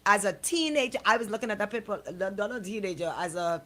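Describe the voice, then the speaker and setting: A woman's voice: medium pitch, fast. A middle-aged woman with a Caribbean accent, hosting casual radio chat in a colloquial, emphatic storytelling way. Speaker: radio show host.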